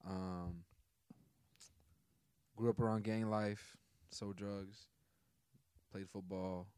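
A man's voice: lowish voice, little pitch movement, drawn-out words, warm tone, clear, resonant tone, dry recording. A man in his twenties from Brooklyn, a guest DJ chatting casually on a podcast in a laid-back, slangy way. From Brooklyn, New York. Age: 20s.

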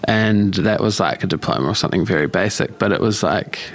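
A man's voice: deep voice, a little fast. A young man with a New Zealand accent giving casual, reflective answers as an interview guest, in an informal and hedging way. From Australia and New Zealand. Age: young adult. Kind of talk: casual chat.

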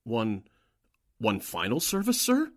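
Radio drama role: male actor as obedient, uneasy henchman, slightly raspy, lively pitch, close dry sound. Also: slow, dry recording, heavily acted. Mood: puzzled, nervous. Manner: obedient, earnest, hesitant. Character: deferential newspaper editor, secretly a Nazi agent, uneasy underling. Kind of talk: 1940s radio drama acting.

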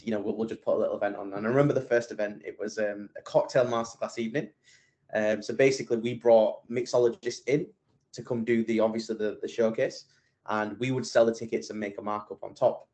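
A man's voice: fairly low pitch, darkish tone. A man, a podcast guest chatting casually and rambling with plenty of fillers and restarts. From England. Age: 20s. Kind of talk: casual interview chat.

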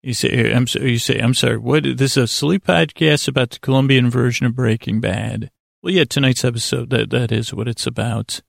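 A man with a creaky voice hosting a sleep podcast, delivering a hesitant, meandering, self-correcting bedtime monologue in a lulling, soothing way. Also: mid-range voice, fairly even intonation, brisk, no echo, studio quality.

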